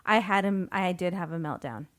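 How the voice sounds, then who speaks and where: A woman's voice: fairly low pitch, normal speed, a bit bright. A woman co-hosting a podcast in casual chat, tired and sleepy, often giggling sheepishly. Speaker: podcast co-host. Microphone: podcast microphone.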